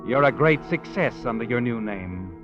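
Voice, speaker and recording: An actor playing a knowing, taunting American narrator of 1940s radio suspense tales, dramatic and needling. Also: male voice, mid-range voice, animated, normal speed, lightly acted. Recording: dull lo-fi 1940s radio recording. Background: dramatic music.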